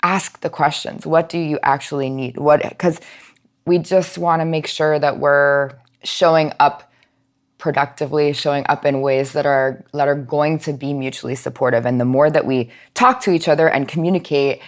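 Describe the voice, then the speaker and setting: A woman speaking as a podcast guest, giving thoughtful conversational answers and pausing mid-thought as she reflects. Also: deep voice, steady tone. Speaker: podcast guest, nonprofit outreach and education manager.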